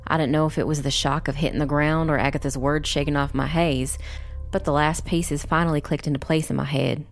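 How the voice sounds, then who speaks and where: Woman as a low-voiced young witch storyteller. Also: steady tone, fairly quick, soft background music. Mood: grave. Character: young witch narrator recounting her family's ordeal, grave. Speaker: first-person narrator. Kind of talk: scripted dark fantasy audiobook narration.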